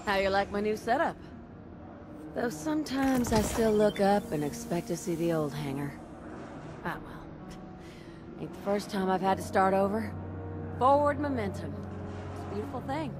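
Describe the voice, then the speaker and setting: A woman's voice: medium pitch, normal speed, pauses often, background noise, lightly acted. An actress playing a spirited, irreverent woman mechanic in a video game, with casual, teasing, folksy talk. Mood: easygoing, upbeat. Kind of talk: video game character dialogue.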